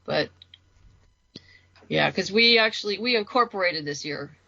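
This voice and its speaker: A woman's voice: medium pitch, lively intonation, unhurried, vocal fry, sharp tone, highs cut off. A woman chatting informally as an interview guest, thinking aloud as she explains her work as a writer. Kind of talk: casual conversational interview.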